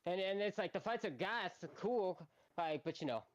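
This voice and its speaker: A man's voice: sits high, animated, normal speed, muffled recording. A man in casual gaming banter, slangy and repeating himself for emphasis. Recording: loud music behind the voice.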